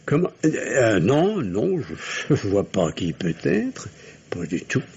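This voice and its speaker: A man's voice: on the low side, huge pitch swings, slow pace, creaky, a little mellow, slight background noise, full character voice. An actor playing an anxious, evasive middle-aged family doctor and father in an old-style radio drama, hesitant and theatrical. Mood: uneasy, reassuring. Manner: hesitant, theatrical, repeats phrases reassuringly. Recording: muffled old radio recording.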